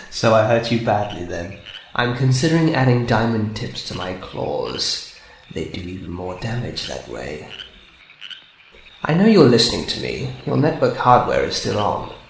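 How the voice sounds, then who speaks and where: Man playing a gloating, gravelly robot villain, roomy sound. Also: fairly low pitch, sing-song, a little slow, full character voice. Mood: mocking. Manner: gloating, mock-sweet needling. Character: sadistic, taunting male robot hunter with claws. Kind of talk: amateur radio drama villain acting. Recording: roomy sound with faint noise.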